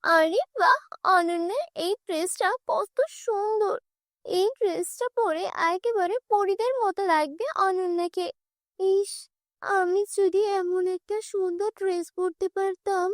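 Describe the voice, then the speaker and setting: A woman's voice: very high voice, fairly even intonation, measured pace, no room sound, clean studio sound, pitched above the performer's own voice. A woman voicing a meek, mistreated young stepdaughter in a cartoon, timid and earnest. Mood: wistful.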